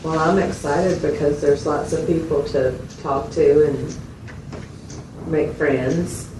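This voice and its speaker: Woman acting a very deep-voiced, plain-spoken female client. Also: steady tone, slow pace. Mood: matter-of-fact. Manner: short, simple, matter-of-fact answers. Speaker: client in an interview. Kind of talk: role-played clinical interview. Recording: roomy, distant mic, loud background noise.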